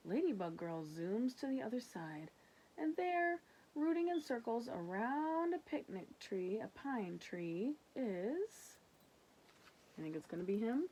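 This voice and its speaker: A woman reading a children's picture book aloud in an expressive way, with casual asides. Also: fairly high pitch, a little hiss or hum, rough recording. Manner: animated, unhurried narration. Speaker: storybook reader.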